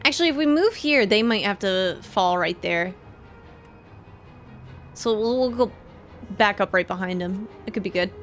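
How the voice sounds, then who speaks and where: A woman's voice: medium pitch, lively intonation, normal speed, pauses often. Woman livestreamer over game music. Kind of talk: casual play-along commentary.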